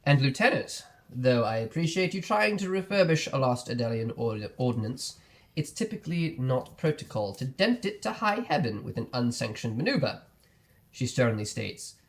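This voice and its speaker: A young man narrating a tabletop game as game master, lively and quick to laugh. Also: medium pitch.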